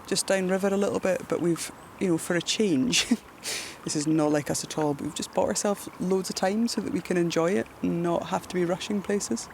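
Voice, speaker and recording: A Scottish woman talking in a relaxed, reflective way while floating down a river outdoors. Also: fairly low pitch, a little hiss or hum. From Scotland. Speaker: presenter, endurance cyclist. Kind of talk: casual outdoor narration.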